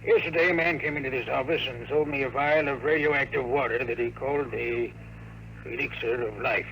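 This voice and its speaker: An American actor playing a weary, ailing old mayor in a 1950s radio drama, with a hoarse, hushed, confiding voice. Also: male voice, medium pitch, a little fast, close to an everyday voice. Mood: grave, confiding. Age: older. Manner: confiding, grave, throat-clearing. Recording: faintly noisy vintage radio recording.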